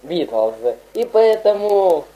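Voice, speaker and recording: A woman playing a mock lecturer in a comedy skit, talking haltingly with many fillers. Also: on the low side, very animated, warm tone, low-quality recording. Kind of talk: parody radio program.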